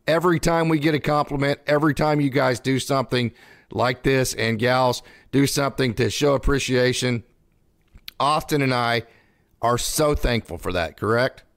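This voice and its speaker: A man's voice: medium pitch, moderate pace, a bit bright, no room sound. Effusive man hosting live sports talk, close-sounding. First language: bilingual English and Spanish. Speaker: live show host reading viewer messages.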